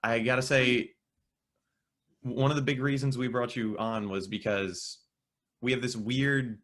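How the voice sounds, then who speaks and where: A man's voice: medium pitch, steady tone, measured pace, fairly bright tone. A man interviewing a guest, asking friendly questions with frequent restarts. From United States. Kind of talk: casual interview.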